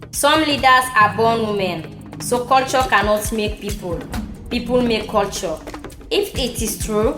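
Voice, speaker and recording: A woman's voice: a little high, fairly bright tone. A woman with a Sierra Leonean accent playing a proud, determined chieftaincy candidate, giving an emphatic speech in an amateur audio drama. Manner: declamatory, emphatic oratory. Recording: roomy echo with music underneath.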